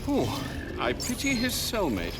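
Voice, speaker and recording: A man's voice: high-pitched, huge pitch swings, a little slow, over loud music, full character voice. An actor playing an older British butler in a video game, wry, formal and worried for his employer. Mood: wry, incredulous. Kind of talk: cinematic video game acting.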